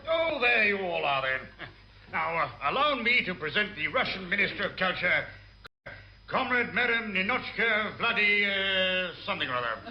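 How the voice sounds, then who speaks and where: High, blustering British ambassador. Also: male voice, very animated, normal speed, sharp tone, dull audio, a little hiss or hum, full character voice. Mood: jovial bluster. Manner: blustering, hesitant with names. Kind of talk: radio sitcom acting. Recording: echoey old radio recording, audience behind.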